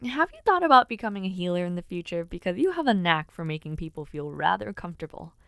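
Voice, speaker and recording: A woman playing an earnest, affectionate young noblewoman and would-be knight in an intimate audio roleplay, speaking with gently formal, sincere phrasing. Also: lowish voice, very animated, quick pace, vocal fry, clear, resonant tone, no echo, studio quality, heavily acted. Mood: grateful, warm. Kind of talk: audio roleplay, intimate one-sided dialogue to listener.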